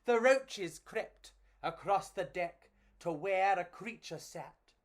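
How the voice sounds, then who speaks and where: A woman's voice: lowish voice, takes it slowly. A woman reading and chatting to children as a storyteller, in a theatrical, gushing and affectionate way.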